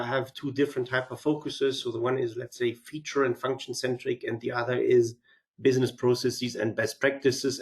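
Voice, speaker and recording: Male webinar host from Germany, voice strained by a cold, measured delivery, lots of ums and restarts. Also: mid-range voice. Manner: measured, with frequent fillers and restarts. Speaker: webinar host, company CEO. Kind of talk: software product webinar presentation.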